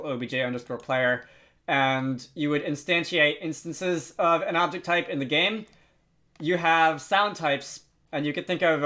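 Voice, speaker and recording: Man giving a hesitant software tutorial. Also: fairly high pitch. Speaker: tutorial host. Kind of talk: unscripted software tutorial narration.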